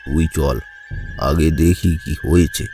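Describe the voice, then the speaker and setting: Guy doing a really deep serious village uncle who hunts ghosts, horror cartoon, music behind. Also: fairly even intonation, unhurried. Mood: resolute. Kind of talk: dramatized horror cartoon dialogue.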